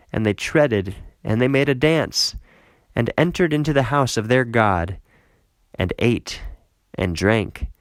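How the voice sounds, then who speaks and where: A man narrating a scripted Bible reading in a measured, solemn way. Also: on the low side, no echo.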